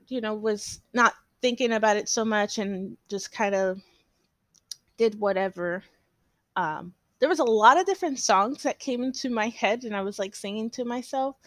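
Slow, stuffy-voiced woman podcast host. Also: medium pitch, a bit bright, no echo. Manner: rambling and hesitant, many fillers and restarts. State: stuffy from allergies. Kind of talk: casual solo podcast chat.

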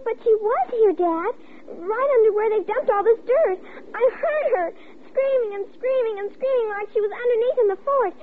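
A woman's voice: extremely high pitch, moderate pace. An actress playing an anxious, insistent young girl who pleads with urgency in an old radio drama. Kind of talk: 1940s radio acting. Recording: tinny, noisy old radio recording.

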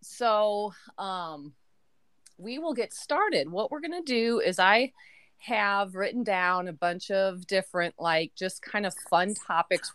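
A woman in her forties hosting a casual family podcast, chatty and quick to laugh. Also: fairly low pitch, a bit bright, smooth, no echo. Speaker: podcast host. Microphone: remote call.

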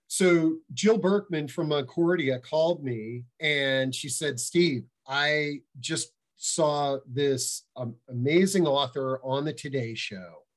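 A man hosting a virtual panel discussion, introducing the guests with many fillers. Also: medium pitch. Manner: slow, rambling with many fillers. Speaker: host. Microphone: video call.

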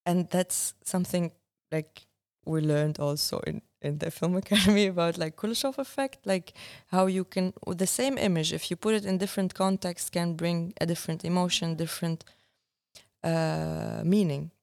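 A young woman, a filmmaker talking as the guest in a relaxed interview and often restarting as she searches for words. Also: on the low side, normal speed. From Syria. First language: Arabic. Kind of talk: casual conversation.

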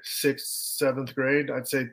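A man's voice: medium pitch, flat delivery, slow pace, fairly bright tone. A man in his thirties, a podcast guest, telling his story in a loose, rambling way with many fillers. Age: 30s. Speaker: podcast guest, business owner. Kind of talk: casual conversation.

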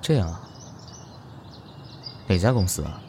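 A very low-pitched young male star, laid-back and laconic. He answers in short, unhurried phrases, with audible background noise around him in this audio drama. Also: lively intonation. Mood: casual, noncommittal.

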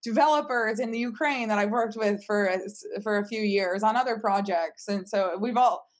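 A woman's voice: mid-range voice, moderate pace. Woman speaking casually in an interview. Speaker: tech company team leader, interview guest.

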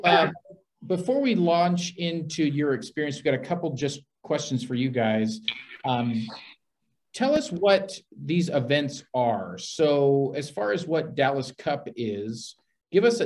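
A man's voice: medium pitch, a little mellow. A man hosting an interview podcast, encouraging his guests. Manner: encouraging, repeats "very good". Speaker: podcast host.

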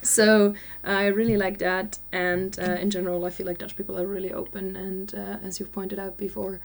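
A young woman speaks as a student on a panel. Her voice is medium in pitch, and her delivery is casual and conversational. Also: level tone, moderate pace, creaky. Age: young adult. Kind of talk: casual chat.

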